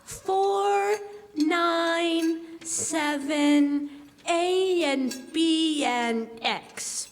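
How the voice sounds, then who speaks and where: A tiny girl's very high voice put on by a young woman, dragging extremely slowly. She is a careful, obedient playmate in a radio play, sounding out words haltingly in an echoey hall. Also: soft background music, full character voice. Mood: careful, puzzled. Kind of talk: 1940s-style radio drama acting on stage. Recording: echoey hall, live stage.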